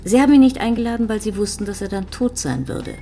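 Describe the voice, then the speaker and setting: An actress playing a composed, probing police detective in a TV crime drama, with measured, insistent questions. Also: female voice, mid-range voice, big pitch swings, creaky, mellow, a little hiss or hum. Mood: accusing. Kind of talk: naturalistic German TV drama dialogue.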